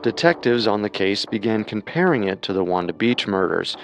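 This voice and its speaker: A man narrating a scripted true crime podcast in a measured, dramatic way. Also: on the low side, animated, over music.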